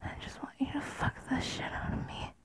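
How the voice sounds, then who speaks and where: A woman speaking in a breathy whisper in an intimate adult audio style, hushed and pleading, with drawn-out delivery. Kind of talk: intimate whispered erotic audio, addressed to a partner.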